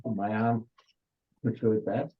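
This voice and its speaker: An older man whose first language is Hungarian, giving an informal biology lecture in an unhurried way, with restarts and fillers. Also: fairly low pitch, flat delivery. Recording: dull lo-fi recording.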